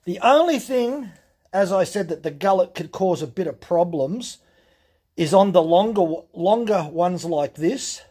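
Husky-voiced man explaining chainsaw teeth. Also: sits high, measured pace. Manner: relaxed, plain-spoken, explaining step by step. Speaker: chainsaw channel host. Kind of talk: hands-on workshop demonstration. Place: workshop bench.

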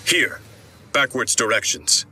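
A man's voice: mid-range voice, takes it slowly, sharp tone, a little hiss or hum. A man playing an earnest, heroic peacemaker in a video game. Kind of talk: video game character acting.

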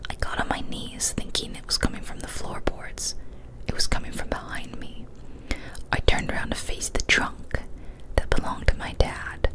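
A woman's voice: normal speed, low-quality recording. Whispering young woman reading a scary story. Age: young adult. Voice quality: soft breathy whisper, entirely unvoiced. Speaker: ASMR storyteller. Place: small decorated room indoors. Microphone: large studio mic with foam cover, very close.

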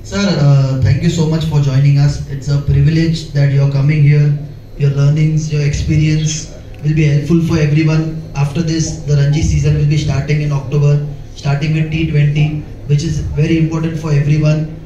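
A man's voice: a little high, level tone, normal speed, mellow, slight background noise. A man, a cricket player, politely thanking the coach in a large hotel function room. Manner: polite, respectful. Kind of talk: thank-you speech. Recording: dull, echoey hall.

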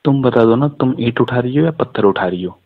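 A man's voice: medium pitch, animated, fairly quick. A man chatting casually on a recorded phone call, joking and teasing.

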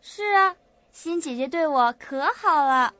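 A woman's voice: high voice, unhurried, fairly bright tone, no room sound. An actress in an audio drama playing a sweet, forgetful young woman with a naive, hesitant way of talking. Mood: hesitant recalling. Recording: muffled lo-fi audio.

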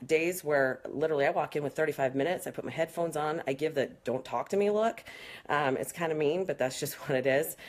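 A woman in her thirties, a fitness trainer, answering questions in a frank, chatty live stream with many fillers, filmed on a phone at arm's length in a small room. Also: low-pitched. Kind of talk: casual live Q&A. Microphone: phone camera at arm's length.

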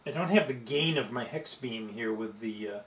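A man in his sixties with an American accent casually narrates an amateur radio demonstration in a halting, unscripted way. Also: medium pitch. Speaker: amateur radio operator and video host. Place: small home radio room, indoors. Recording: roomy, loud background radio noise. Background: loud radio hiss and signal tones.

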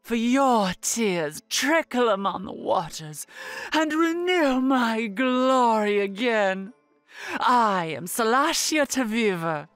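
A haughty female dragon played by a woman in an audio drama. She is imperious and theatrical, speaking slowly in a fairly high voice over faint music. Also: expressive.

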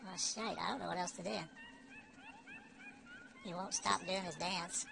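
A man's voice: high-pitched, fairly even intonation, measured pace, creaky, music in the background. An older man giving casual gameplay commentary at home, thinking aloud, mocking himself and cursing mildly. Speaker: let's play gamer. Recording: dull lo-fi home recording.

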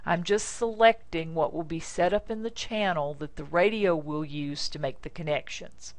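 Low-pitched American woman giving a tutorial. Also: no room sound. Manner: informal, instructional, short deliberate phrases. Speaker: software demonstrator. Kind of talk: step-by-step screencast tutorial narration.

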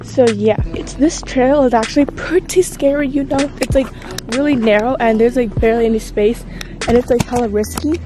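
Chatty young woman, filler-heavy travel vlog on a phone, slightly high voice over background music. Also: steady tone, normal speed, low-quality recording. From San Francisco. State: out of breath. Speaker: vlogger. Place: outdoors on a canyon trail. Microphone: handheld phone at arm's length. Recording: music playing under voice.

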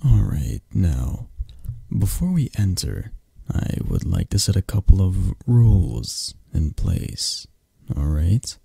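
Man acting a dark, gravelly, possessive mafia boss boyfriend. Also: low voice, big pitch swings, dry recording, exaggerated voice. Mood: possessive, firm. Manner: unhurried, commanding, coaxing, close and soft. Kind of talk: intimate ASMR romance roleplay.

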